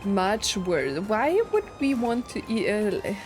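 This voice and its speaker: A woman's voice: mid-range voice, sing-song, slow. A woman streaming a video game with casual commentary, thinking aloud and reading on-screen text out. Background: video game music and dialogue.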